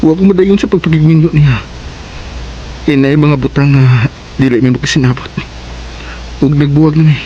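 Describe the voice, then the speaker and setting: A man's voice: a little high, fairly even intonation, moderate pace, long pauses, mellow, muffled recording, a little hiss or hum, lightly acted. A man acting an ardent ex-boyfriend in a Cebuano radio drama, with an earnest, coaxing manner. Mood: remorseful, pleading. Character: Edon, pleading ex-boyfriend, ardent smooth-talking suitor.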